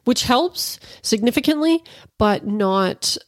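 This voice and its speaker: A middle-aged woman from Canada hosting a casual solo chat, a little stuffy from allergies, rambling warmly with fillers. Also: a little high, lively intonation, takes it slowly, dry recording. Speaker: podcast host.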